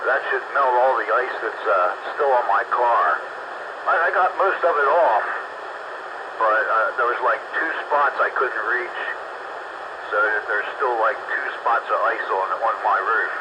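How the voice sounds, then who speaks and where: A man calling in to a radio show, chatting casually, halting and repeating his words. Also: mid-range voice. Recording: loud hiss over the voice.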